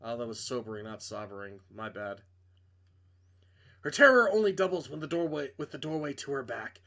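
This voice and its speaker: A man's voice: mid-range voice, sing-song, normal speed, bright, forceful tone. A man reading a visual novel aloud as dramatic narration, with casual asides when he stumbles and corrects himself.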